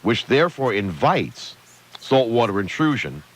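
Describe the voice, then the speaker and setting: A man's voice: mid-range voice, sing-song, a little slow. A man co-hosting a radio talk show, chatty and wry in manner. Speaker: radio co-host.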